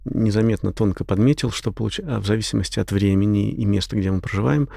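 A man's voice: lowish voice, fast talker, gravelly, warm tone, dry recording, studio quality. A man in a casual podcast discussion who argues with sweeping claims and rhetorical questions.

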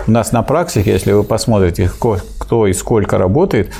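A man in a casual discussion, talking animatedly, with sharp pitch jumps for emphasis and mocking quotes of others. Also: expressive, fairly quick, gravelly, breathy edge.

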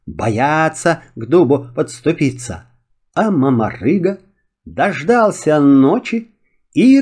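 A man telling a children's fairy tale in an expressive, theatrical and deliberate way. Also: fairly high pitch, slightly rough. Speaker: storyteller.

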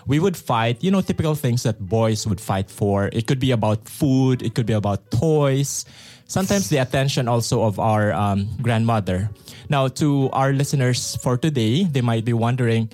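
A man's voice: medium pitch, darkish tone. A man from the Philippines in his thirties, chatting casually as a podcast co-host, with lots of fillers and laughs. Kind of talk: casual chat.

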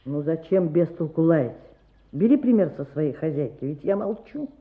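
A woman's voice: sits low, lively intonation, normal speed. A woman playing a spiteful, grumpy old landowner woman in a radio play, muttering and sneering. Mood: gloating. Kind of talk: radio play character monologue. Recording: muffled, narrow-band old radio recording.

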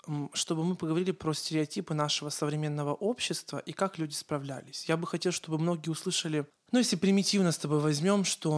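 Man, podcast co-host, from Ukraine, somewhat high-pitched, earnest, rambling. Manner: earnest, rambling, self-correcting. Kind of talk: casual podcast conversation.

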